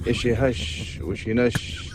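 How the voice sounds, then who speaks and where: A man's voice: mid-range voice, steady tone, unhurried, noisy background. A man calling a radio show to tell a humorous anecdote.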